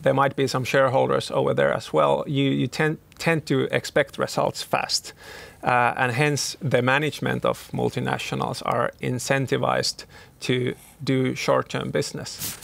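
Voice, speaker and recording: Gravelly, flat-voiced man. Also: medium pitch, moderate pace. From Finland. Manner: hesitant, many restarts and fillers. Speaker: company CEO, panel guest. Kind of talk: panel discussion answers.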